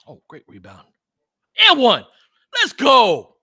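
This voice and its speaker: Man, basketball watch-along host, animated pitch, bright forceful voice, profane fan analyst. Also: slow pace, husky, no echo. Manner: profane, excitable fan talk with analytical asides. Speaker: sports podcast host and analyst.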